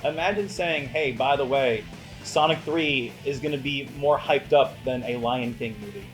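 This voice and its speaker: A man's voice: mid-range voice, moderate pace, low-quality recording. Joking man with music behind. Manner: mock-serious, hyperbolic joking. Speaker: co-host of a movie review show. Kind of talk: casual chat with joking banter.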